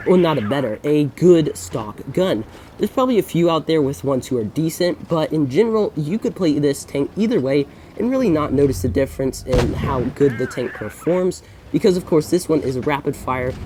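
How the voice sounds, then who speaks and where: A man's voice: on the high side, darkish tone, a little hiss or hum. A young man with a congested voice from a recent cold, casually commentating over his own gameplay and often correcting himself with fillers. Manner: rambling, self-correcting, frequent fillers.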